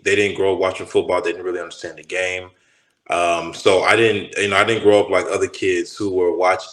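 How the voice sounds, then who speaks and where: A man in his thirties from Texas, a former pro football player, talking in a relaxed, rambling way with many restarts as an interview guest. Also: low voice, reverberant. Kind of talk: casual interview talk.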